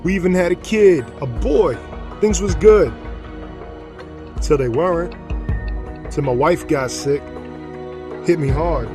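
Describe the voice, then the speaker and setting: A man playing a remorseful middle-aged father, a former gang leader and recovering alcoholic, with rough, plain streetwise speech in an audio drama. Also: fairly high pitch, slow, pauses often. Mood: subdued. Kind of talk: audio drama acting, confessional monologue. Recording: dramatic music under the voice. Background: music.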